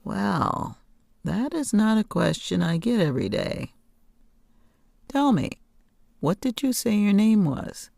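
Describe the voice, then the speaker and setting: A woman voicing a kindly, amused old woman of the woods in a storybook, speaking in a measured, wry, teasing way. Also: medium pitch, sing-song, gravelly, a little mellow, no echo, clean studio sound, voice pushed up, full character voice. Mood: wry, friendly. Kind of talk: storybook character reading.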